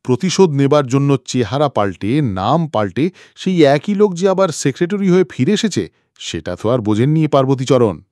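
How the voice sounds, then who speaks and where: Man voicing an emphatic, confident detective, swooping pitch. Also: sing-song, moderate pace, a little mellow, no room sound, clean studio sound, natural voice. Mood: accusing. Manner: methodical, emphatic, accusing. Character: confident male private detective laying out a murder case. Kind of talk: dramatic audiobook character reading.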